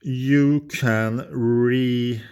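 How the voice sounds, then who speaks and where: Swedish DIY mechanic, unhurried and halting, mid-pitched man. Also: fairly even intonation, a little mellow, clean tone. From Sweden. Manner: slow, halting, thinking aloud while working. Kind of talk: hands-on repair tutorial.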